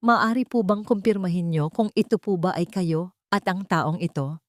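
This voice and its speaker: A woman's voice: lowish voice, normal speed, no room sound, lightly acted. Accusing opposing attorney played by a woman, formal, insinuating and doubtful, courtroom audio drama, dry close sound.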